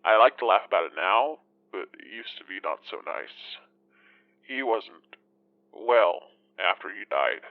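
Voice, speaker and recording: A man's voice: on the low side, sing-song, a little slow, gravelly, no room sound, exaggerated voice. A man playing a genial, chatty older widower calling a radio show in an audio drama, folksy and polite, over a muffled line. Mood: wistful, reminiscing. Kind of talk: conversational audio drama acting.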